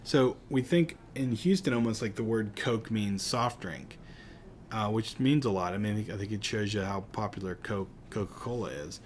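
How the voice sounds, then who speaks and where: A man's voice: on the low side, moderate pace, a little hiss or hum. A man from Pittsburgh with a Pittsburgh accent, teaching a recorded lecture in a chatty, conversational way with casual asides.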